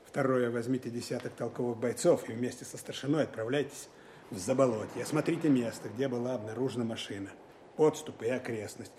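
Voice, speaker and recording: Casting: man playing authoritative wartime lieutenant colonel, mid-pitched, terse, deliberate, dry, for radio drama with slight background noise. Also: a little mellow, close to an everyday voice. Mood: commanding. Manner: terse, commanding, deliberate questioning. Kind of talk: Russian wartime audio drama, narration and dialogue.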